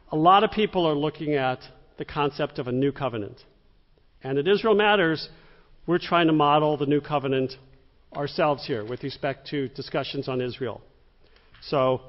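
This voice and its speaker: A middle-aged man moderating a public Q&A onstage, brisk and prompting. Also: a little high. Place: synagogue stage before an audience. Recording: dull echoey hall recording.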